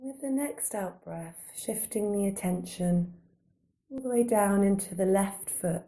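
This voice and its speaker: A woman guiding a body scan meditation in a calm, gentle, unhurried manner, giving slow soothing instructions with long pauses. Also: medium pitch. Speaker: meditation guide.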